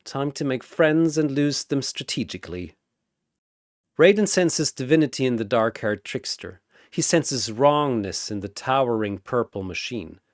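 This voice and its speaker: Male narrator for fantasy battle story: theatrical, measured and slow, in a dry recording. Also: mid-range voice, expressive, no room sound. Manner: theatrical, deliberate. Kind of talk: dramatic scripted battle narration.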